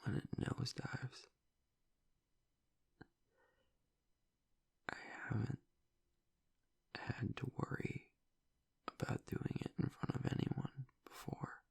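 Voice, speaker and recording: A man playing a gruff young werewolf pack alpha in an intimate audio roleplay, halting and tearful, his voice breathy and gravelly as he grieves and doubts himself. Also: little pitch movement, darkish tone, in a whisper, heavily acted. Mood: spiralling, distressed. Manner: halting, low-voiced, choked with emotion. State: tearful, on the edge of crying.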